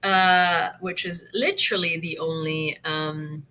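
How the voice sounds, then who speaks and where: A woman's voice: lowish voice, steady tone, slow, a little hiss or hum. A young woman from Ukraine, Ukrainian native, with an American accent, chatting casually on a livestream and laughing often. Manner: chatty, laughs often, thanks viewers warmly. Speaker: livestream host.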